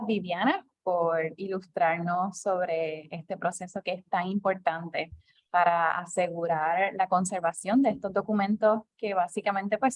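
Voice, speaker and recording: A woman moderating a question session at an archive, speaking in a measured, formal way. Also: fairly low pitch, no echo. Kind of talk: moderating a lecture Q&A.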